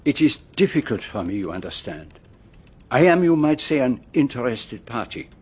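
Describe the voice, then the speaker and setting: Radio drama: Belgian male sleuth, French-speaking Belgian accent, husky, formal, precise, brooding. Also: mid-range voice, big pitch swings, a little mellow. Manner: formal, precise, deliberate phrasing. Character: courteous, methodical, brooding Belgian male detective.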